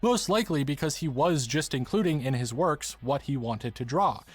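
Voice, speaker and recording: A man's voice: mid-range voice, animated, moderate pace, breathy edge, no room sound. A man reading scripted explanatory narration as the narrator of a video essay.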